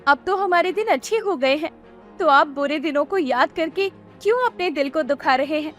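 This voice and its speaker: A woman's voice: high-pitched, normal speed, soft background music, lightly acted. A woman voicing a caring, affectionate village wife with a pleading manner in a cartoon story. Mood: consoling. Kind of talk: Hindi cartoon story dubbing.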